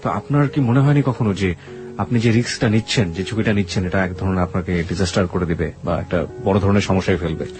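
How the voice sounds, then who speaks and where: A man with a low voice works as a radio interviewer, talking at a brisk pace. He probes with questions and recaps the story back to the guest, with music playing faintly behind him. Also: a little mellow. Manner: probing, restates the guest's account in questions. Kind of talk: interview with prompts, recaps and backchannels.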